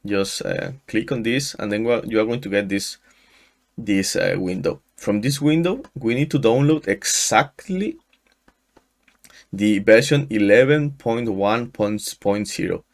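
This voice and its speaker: A man in his thirties from Mexico, a native Spanish speaker with a Mexican accent, walking through a software install in a screen-share tutorial, often restarting his sentences. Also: on the low side, gravelly. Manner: halting, frequent restarts and fillers. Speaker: tutorial host. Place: small room indoors. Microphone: headset.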